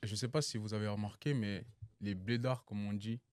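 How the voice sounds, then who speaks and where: A young man from Cameroon chatting casually among friends, telling animated stories full of slang and laughter. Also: fairly low pitch, normal speed, dry recording. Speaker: guest. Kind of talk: casual chat.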